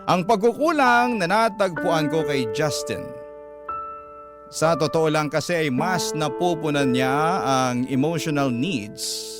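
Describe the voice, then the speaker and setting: A man's voice: a little high, slow pace. A man with a Filipino accent reading a listener's letter dramatically as a radio host. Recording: loud music bed under narration.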